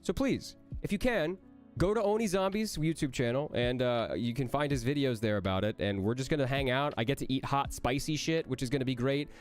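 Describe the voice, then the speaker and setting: A man's voice: medium pitch, brisk, soft background music. A young man, a voice actor, reading out and answering chat questions on a livestream, with a nasal voice that sounds like he has a cold, playful and joking.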